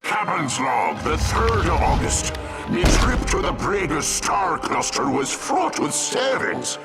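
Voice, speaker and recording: Grand pirate captain, slow and swooping, music playing behind, game log. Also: male voice, high voice, sing-song, heavily acted. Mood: boastful. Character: theatrical old male pirate captain, brooding and boastful.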